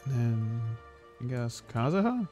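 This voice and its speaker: A man's voice: medium pitch, big pitch swings, takes it slowly, warm tone, faint music behind. A man chatting casually and informally as he streams a game, muttering asides. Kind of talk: casual livestream chat.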